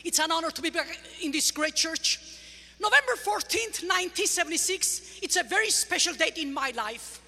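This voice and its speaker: A woman with an Armenian accent, a guest speaker giving a lively, humorous church testimony, heard in a large church. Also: very high-pitched, moderate pace. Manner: lively, humorous, teaching the crowd. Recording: large church hall reverb with faint music.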